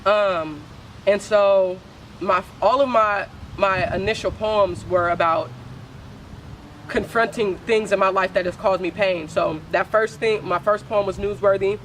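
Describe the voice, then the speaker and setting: A young woman from Oakland with a deep voice, speaking candidly as a poet guest in a casual interview, full of slang and swearing. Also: steady tone, unhurried, slight background noise, poor audio. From Oakland, California.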